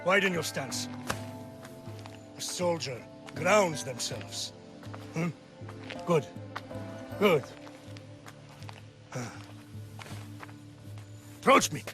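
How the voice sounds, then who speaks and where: A man's voice: on the high side, animated, extremely slow, long pauses, over music. An actor playing a stern, proud Spartan father in a weighty, commanding video game performance. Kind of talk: video game cutscene acting.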